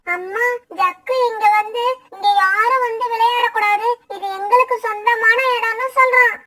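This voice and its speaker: A little boy bird in a children's cartoon, played by a woman. The voice is piercingly high and bright, with an innocent, plaintive childlike manner, slightly off the mic. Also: fairly even intonation, normal speed, distant mic. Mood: tearful, plaintive. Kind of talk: children's cartoon story acting.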